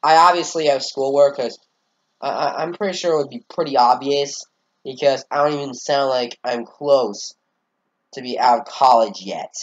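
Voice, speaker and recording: A man's voice: medium pitch, moderate pace, highs cut off. Congested teen boy rambling into a cheap mic. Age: teens. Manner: rambling, hesitant, casually profane. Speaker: channel owner. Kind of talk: casual channel update.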